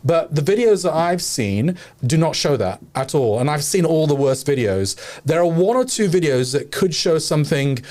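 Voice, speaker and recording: A man's voice: on the high side, expressive, normal speed, few pauses, darkish tone. A man co-hosting a discussion podcast, making his case in an earnest, argumentative way, thinking aloud with many fillers. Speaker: podcast co-host.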